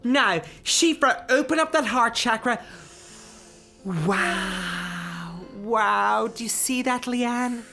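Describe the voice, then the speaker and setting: High-pitched, slow, theatrical guru. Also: female voice, lively intonation, sharp tone, soft background music, full character voice. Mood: serene, gushing. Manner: theatrical, drawn-out, preachy. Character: breathy-serene, overzealous new-age rebirthing guru woman. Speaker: actor in a radio drama. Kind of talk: darkly comic radio acting.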